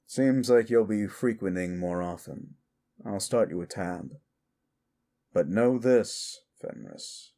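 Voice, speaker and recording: Man playing a laid-back, low-voiced bartender. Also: dark tone. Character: easygoing, wry male bartender of monster sanctuary bar. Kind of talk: amateur audio drama dialogue.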